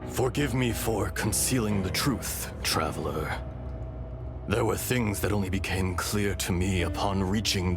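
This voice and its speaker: A man voicing an ancient, calm and regretful dragon spirit in a video game, speaking gravely and deliberately. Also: low voice, exaggerated voice. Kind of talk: scripted video game voice acting.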